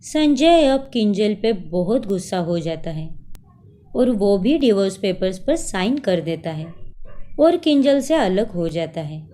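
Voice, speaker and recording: A woman's voice: mid-range voice, very animated, a little slow, dark tone. A woman narrating a scripted story as its storyteller.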